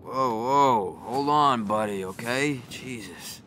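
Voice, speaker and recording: An actor playing a middle-aged single father in a video game, a tired beer-drinking dad with a gruff, weary voice and a drawling, half-teasing manner that turns snappish. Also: mid-range voice, slow, fairly bright tone, a little hiss or hum. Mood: groggy, startled. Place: living room in a house, game scene.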